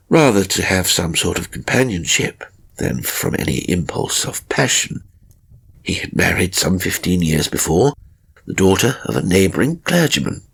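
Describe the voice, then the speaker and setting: A man voicing a timid, anxious novelist who recounts a haunting in a measured, theatrical horror audiobook style, with a gravelly, husky voice. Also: low voice, a bit bright, heavily acted. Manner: measured, theatrical, hesitant pauses. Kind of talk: dramatic first-person horror audiobook narration.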